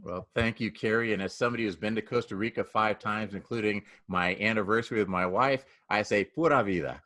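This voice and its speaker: A man's voice: on the low side, a little fast, a bit bright. A man moderating a panel on a video call, making friendly and polite introductions.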